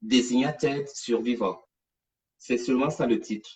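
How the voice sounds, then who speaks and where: A woman hosting a casual live stream, talking in an animated, digressive, chatty way. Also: rumbling low, a little slow. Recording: distant from the mic.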